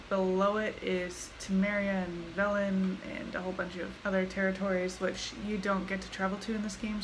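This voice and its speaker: A woman's voice: mid-range voice, background noise. A woman giving casual, explanatory commentary as she plays through a video game. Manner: informal, explanatory. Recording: loud game ambience behind voice.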